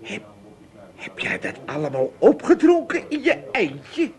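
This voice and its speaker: Wheedling old Amsterdam dad, high-pitched, very animated, stammering, theatrical, radio comedy. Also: male voice, measured pace, exaggerated voice. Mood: grumbling. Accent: Amsterdam Dutch. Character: querulous, wheedling old Amsterdam father fond of a free drink. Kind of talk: comic radio play acting.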